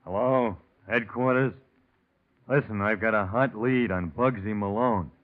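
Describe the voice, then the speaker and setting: An actor in a vintage radio drama playing a shifty, insistent tipster who talks in a curt, deliberate way. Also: male voice, fairly low pitch, lightly acted. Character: shifty anonymous male tipster, insistent and evasive. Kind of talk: 1940s radio acting. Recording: muffled, tinny old radio recording.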